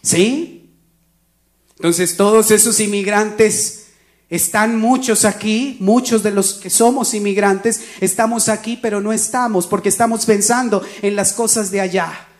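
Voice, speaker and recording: A preacher with a Colombian accent delivers a loose, talky sermon at a medium pitch. The speech keeps circling back to short check-in questions aimed at the congregation. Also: a little slow. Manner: keeps checking in with listeners by asking "¿sí?"; rambling, conversational preaching with restarts.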